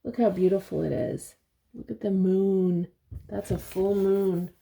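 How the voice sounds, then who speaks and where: An older woman reading a picture book aloud to young children, pausing to explain things and ask simple questions. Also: medium pitch, gravelly, slightly rough, low-quality recording. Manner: expressive, simple explanations and questions for little listeners.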